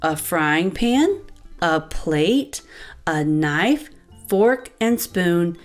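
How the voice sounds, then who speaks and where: Female children's read-aloud host: expressive storytelling, unhurried delivery, highly varied pitch, faint music underneath. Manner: expressive, addressing child listeners with questions. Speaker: children's book reader and host. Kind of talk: animated read-aloud narration for young children. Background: light background music.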